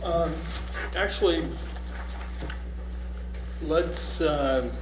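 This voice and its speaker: Slow, halting man in echoey room. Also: a little high, background noise. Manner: hesitant, halting.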